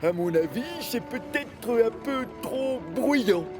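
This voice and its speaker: A man's voice: very high voice, lively intonation, slow pace, full character voice. A man voicing a friendly, frank male working machine from a quarry in a French children's cartoon, exclamatory in manner. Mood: doubtful. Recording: music behind.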